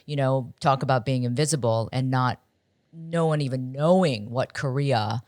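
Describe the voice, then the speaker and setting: A woman's voice: very deep voice, moderate pace, darkish tone, dry recording, clean studio sound. A middle-aged woman from Ohio hosting a casual interview, often ending points with tag questions. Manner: conversational, tag questions, restarts. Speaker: host.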